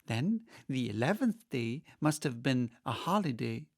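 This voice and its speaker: A man's voice: medium pitch, big pitch swings, a little slow, no room sound, studio quality. A man voicing a polite, curious young girl from a storybook, with a plain questioning delivery. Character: polite, curious young girl Alice, timid yet indignant. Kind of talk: storybook character reading.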